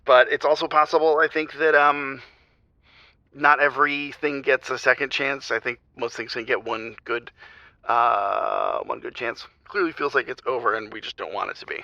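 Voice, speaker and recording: A grieving husband confesses haltingly on a radio call-in drama, blaming himself. His voice is very bright and tense with a creaky edge, heard dry over a dull, narrow line. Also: mid-range voice, dry recording. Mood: sad, resigned. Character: heartbroken, self-blaming husband grieving a failing marriage. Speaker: radio call-in show caller. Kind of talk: naturalistic audio drama acting. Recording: dull, band-limited phone-call sound.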